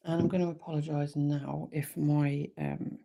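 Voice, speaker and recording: A woman with a slightly croaky voice from a sore throat, hosting a relaxed live wire-wrapping tutorial from her workshop. She chats easily and thinks aloud with frequent ums. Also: low voice, moderate pace.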